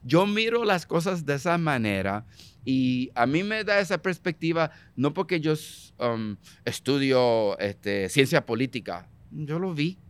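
Emphatic Puerto Rican man, slightly high voice. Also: sing-song, a little slow, clear, resonant tone, no room sound. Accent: Puerto Rican Spanish. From Puerto Rico. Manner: emphatic, repeats himself, mixes in English phrases. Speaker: interview guest, politician. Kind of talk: conversational political interview.

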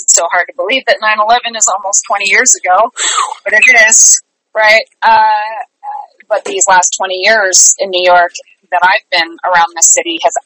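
A woman's voice: on the low side, sharp tone, poor audio. A middle-aged woman answering interview questions conversationally, speaking earnestly and repeating phrases for emphasis. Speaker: interview guest, life and business coach.

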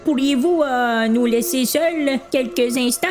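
A man playing a polite, odd and evasive male house buyer who speaks haltingly in an amateur audio drama. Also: extremely high pitch, soft background music. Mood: hesitant, polite. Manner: halting, with long hesitations.

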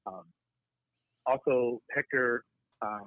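A man's voice: medium pitch, takes it slowly, no room sound. A man giving casual commentary as a panel guest over a tinny, narrow line.

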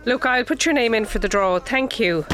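A woman's voice: on the high side, expressive, moderate pace, a bit bright. A woman playing a nice but easily stressed receptionist in her forties, with an Irish accent and a curt, harried way of talking. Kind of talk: comic radio play acting.

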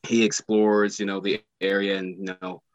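A man's voice: on the low side, little pitch movement, moderate pace, no room sound, highs cut off. A man, an actor, answering interview questions casually and hesitantly, full of fillers. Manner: hesitant, many fillers like "you know". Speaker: actor guest.

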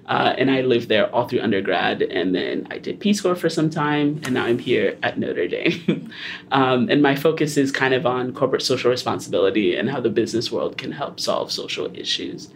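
A young man from Haiti hosting a student podcast, talking in a relaxed way with small laughs and fillers. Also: medium pitch, moderate pace, creaky, slight background noise. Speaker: podcast host.

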